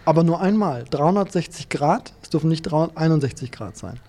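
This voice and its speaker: A man in his thirties from Hannover speaks in a mellow, slightly raised voice. He explains things carefully and precisely, walking through each step as if demonstrating it at the table. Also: on the high side, animated, fast. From Germany, Hannover. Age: 30s. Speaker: table football player. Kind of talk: interview statements.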